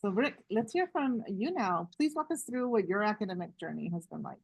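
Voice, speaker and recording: A woman hosting a community call, encouraging and warm, often tagging her points with "right?". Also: mid-range voice, animated, fairly quick, gravelly, slightly rough, dry recording. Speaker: host. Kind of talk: moderating a community video call.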